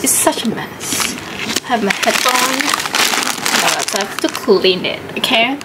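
Chatty woman vlogger, high voice. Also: expressive, measured pace, very noisy. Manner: chatty, mixes English and Malay, giggly. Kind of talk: casual vlog chat. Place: bedroom at home. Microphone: handheld camera close up.

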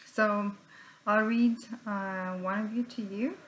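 A woman's voice: mid-range voice, fairly even intonation, takes it slowly, rough recording. A young woman from Romania, introducing herself informally and a little hesitantly. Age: young adult. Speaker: writer. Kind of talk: informal spoken introduction to camera. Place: indoors in a room at home.

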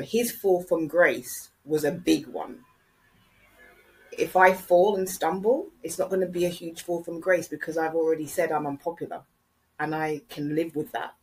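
Brief: low-pitched woman, candid podcast storyteller, blunt delivery. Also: low-quality recording. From Jamaica. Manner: blunt, candid, repeats phrases for emphasis. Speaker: podcast host. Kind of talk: reflective commentary and personal storytelling.

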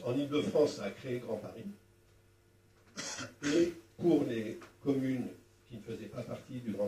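An older man explaining things at a public debate in a halting, didactic way, speaking into a handheld microphone. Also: medium pitch, warm tone. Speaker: former local councillor, panel speaker. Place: small wood-walled meeting room at a public event. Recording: roomy, distant sound with faint noise.

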